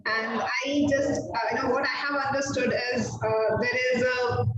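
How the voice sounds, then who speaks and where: A woman's voice: on the high side, fairly even intonation, moderate pace, a bit bright, soft background music. A woman hosting an online event, giving informal remarks hesitantly as she searches for words. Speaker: host and organiser of teacher events. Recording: very echoey, distant, dull, noisy call.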